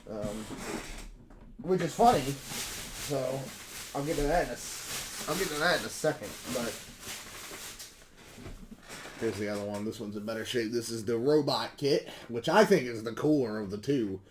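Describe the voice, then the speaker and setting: A man with a slightly hoarse voice chats casually and ramblingly as a video host showing off recent pickups. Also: mid-range voice, moderate pace, long pauses, background noise. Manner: rambling, self-correcting, mild swearing. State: slightly hoarse, losing his voice. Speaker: YouTube video game collecting host.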